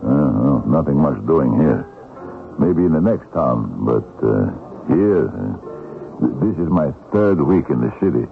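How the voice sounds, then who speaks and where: An actor playing a brash, wheedling, down-and-out Russian drifter who grumbles and hems and haws, in an old-time radio drama. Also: male voice, very deep voice, very animated, unhurried, creaky, faint music behind, exaggerated voice. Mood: glum. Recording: narrow, lo-fi old radio broadcast.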